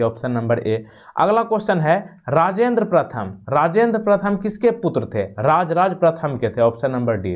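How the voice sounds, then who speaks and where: A man teaching an exam-prep quiz, reading out questions, giving answers and repeating key points instructively. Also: a little high. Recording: dull, muffled lo-fi microphone.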